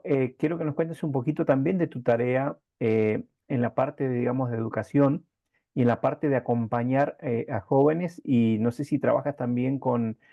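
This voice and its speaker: A man's voice: mid-range voice, no room sound. Male interviewer, dull dry sound. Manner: meandering, halting lead-ins to questions. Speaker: interviewer, host. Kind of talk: conversational interviewing.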